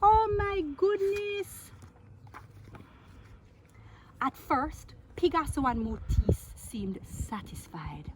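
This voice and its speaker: A woman reading a picture book aloud to children in an expressive, playful way, drawing out words and asking her listeners questions. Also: high-pitched, a little hiss or hum. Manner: theatrical, playful, with drawn-out words and exclamations.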